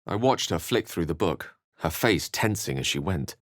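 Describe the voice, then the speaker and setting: An actor playing a bookish, genteel wizard in a video game, articulate and measured, with a wry, theatrical way of talking. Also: male voice, low voice, expressive, no echo, clean studio sound. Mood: grave narration. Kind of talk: video game voice acting, narration and dialogue.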